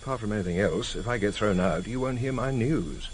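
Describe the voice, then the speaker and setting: An actor in a vintage radio drama playing a cheeky, probing British intelligence agent, dry and teasing, with a British accent. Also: male voice, lowish voice, animated, fairly quick, close to an everyday voice. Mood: wry, teasing. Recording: slightly noisy vintage radio recording.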